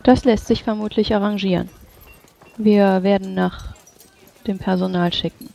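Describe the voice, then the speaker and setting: Gravelly, creaky-voiced game master. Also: medium pitch, steady tone, moderate pace, darkish tone. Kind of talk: tabletop role-play narration and in-character dialogue.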